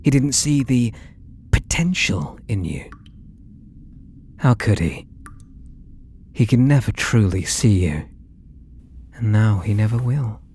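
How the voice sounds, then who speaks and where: A British man voicing an obsessed, possessive artist and menacingly tender lover in a breathy, theatrical ASMR roleplay, drifting into whispers. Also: on the low side, a little mellow, slight background noise. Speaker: audio roleplay voice actor.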